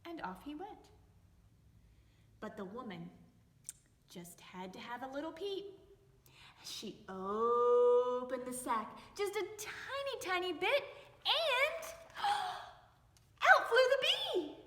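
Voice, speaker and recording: A woman reads a children's story aloud in a high, very animated voice. She takes her time, stretching words for suspense in a theatrical way. The room adds a noticeable echo. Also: slow pace, long pauses. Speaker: library storytime host and storyteller. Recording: echoey reverberant room.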